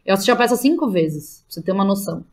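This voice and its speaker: A woman's voice: mid-range voice, lively intonation, rapid-fire, a little mellow, a little hiss or hum. A Brazilian woman chatting casually as an interview guest, with informal slang and frequent tag questions. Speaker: interview guest, playwright and theatre director. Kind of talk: casual podcast conversation.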